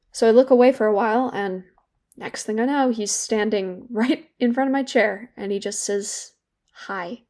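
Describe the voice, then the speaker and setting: A young woman playing a guilt-ridden, wry and bitter therapy patient in an audio drama, speaking candidly and haltingly. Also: mid-range voice, a little mellow, lightly acted. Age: young adult. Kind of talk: naturalistic audio drama dialogue.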